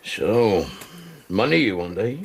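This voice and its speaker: A man acting in a radio drama as a brutal, hard-drinking whaling skipper, gravelly, hoarse, threatening and sneering. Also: fairly low pitch, expressive, takes it slowly, heavily acted. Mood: menacing.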